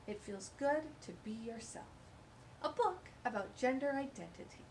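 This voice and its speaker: A woman's voice: fairly high pitch, expressive, moderate pace, a little hiss or hum. A woman hosting a children's storytime, reading a picture book aloud with gushing, exclamatory asides.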